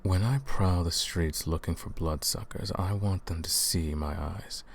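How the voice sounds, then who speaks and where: An extremely deep male voice with a gravelly creak, a man acting as an ancient vampire who hunts other vampires. He is brooding, menacing and world-weary, speaking in measured phrases as if to one listener in an ASMR audio roleplay. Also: a little hiss or hum. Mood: wry, menacing. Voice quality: low, gravelly.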